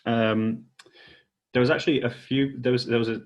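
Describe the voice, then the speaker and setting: A man's voice: medium pitch, level tone, moderate pace, dull audio. A man moderating a panel informally, tentatively circling toward his question.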